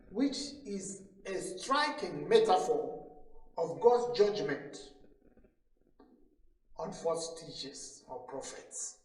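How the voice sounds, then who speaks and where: A man preaching a sermon as a pastor, solemn and admonishing, repeating phrases for emphasis. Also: high voice. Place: church hall during a service. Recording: echoey room, distant microphone.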